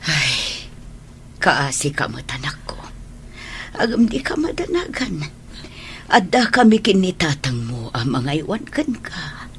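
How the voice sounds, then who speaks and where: A woman's voice: deep voice, big pitch swings, a little slow, long pauses, a bit bright, a little hiss or hum, full character voice. A woman with an Ilocano Filipino accent playing a tender, worried older mother, soothing and emotive, in a radio drama. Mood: pitying, tearful.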